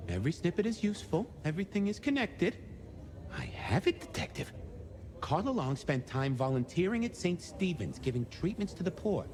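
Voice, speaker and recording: An eccentric video game archivist who revels in his records. His higher-pitched voice swoops about theatrically and playfully, over faint background noise. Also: very animated, moderate pace. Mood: excited, conspiratorial.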